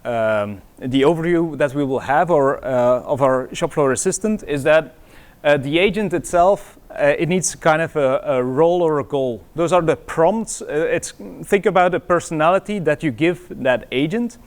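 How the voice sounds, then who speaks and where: Man, Belgian accent, middle-aged, conference presenter, smooth resonant voice, slightly high pitch, hesitant with fillers. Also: expressive, normal speed. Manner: hesitant, many fillers and restarts. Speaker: conference speaker.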